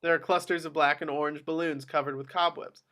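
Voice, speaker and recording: A man's voice: a little high, little pitch movement, brisk, fairly bright tone. A man reading stage directions as the narrator of a script table read.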